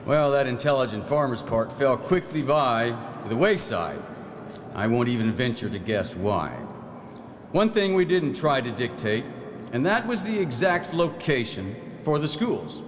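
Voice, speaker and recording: An actor playing a proud, folksy 1909 farm-minded legislator who reminisces in a plain-spoken theatrical monologue. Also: male voice, medium pitch, very animated, unhurried. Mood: proud, reminiscing. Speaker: actor in historical re-enactment. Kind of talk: theatrical first-person historical monologue. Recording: echoey hall, clear background noise.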